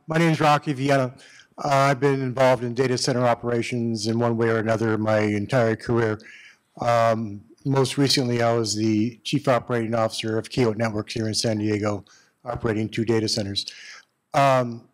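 A man's voice: mid-range voice, flat delivery, normal speed, low-quality recording. A middle-aged man in the audience at a panel, speaking on a handheld mic in a conference room, giving candid advice with many restarts and repeated words. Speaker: audience member, data center executive.

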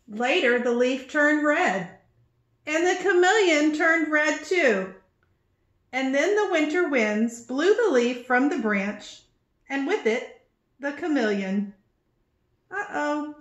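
A middle-aged woman with a high, very animated voice reads a storybook to small children. She phrases slowly and expressively, like a preschool teacher. The sound is roomy, as in a small office. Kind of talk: read-aloud picture book storytelling for young children.

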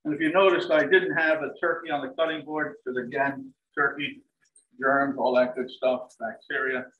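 A man's voice: mid-range voice, normal speed, highs cut off. Man giving a cooking demo in an echoey kitchen. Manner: relaxed, step-by-step instructions with frequent "um" and "like I said". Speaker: chef and dining services director. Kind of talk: live cooking demonstration. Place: large home kitchen, indoors. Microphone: fixed camera across the counter. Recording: echoey kitchen, voice from a distance, faint hiss. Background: turkey sizzling in a pan.

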